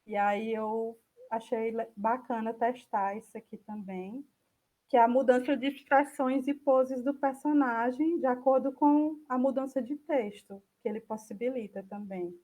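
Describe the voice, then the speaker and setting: A Brazilian woman giving an informal online software demo, thinking aloud hesitantly as she presents and answers questions. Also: fairly high pitch, steady tone, unhurried, rough recording. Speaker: speaker giving an online talk.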